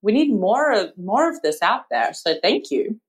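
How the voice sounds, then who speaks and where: A woman's voice: medium pitch, very animated, normal speed. A woman hosting a podcast interview, upbeat and laughing often. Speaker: podcast host.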